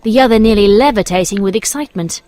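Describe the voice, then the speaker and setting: A woman's voice: mid-range voice, fast, a crowd in the background. A woman reading scripted storybook narration as the narrator.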